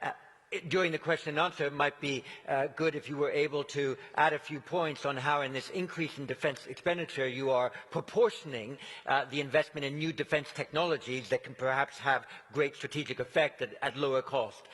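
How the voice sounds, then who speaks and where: A man's voice: mid-range voice, brisk, fairly bright tone. Polite man moderating, with lots of hesitation. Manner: polite, with many filler ums. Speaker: session moderator. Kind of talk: moderating a conference panel. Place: large conference hall. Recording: reverberant conference hall.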